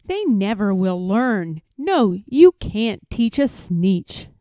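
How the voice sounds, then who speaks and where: A woman voicing a sly, peppy male huckster with smooth, showy sales patter in a storybook reading. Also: medium pitch, big pitch swings, slow, mellow, no room sound. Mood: smug.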